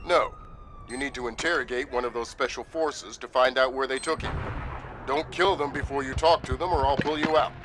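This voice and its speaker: An actor playing a stern, authoritative spymaster briskly briefing his agent in a video game. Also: medium pitch, fairly even intonation, background noise, lightly acted. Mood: urgent, commanding.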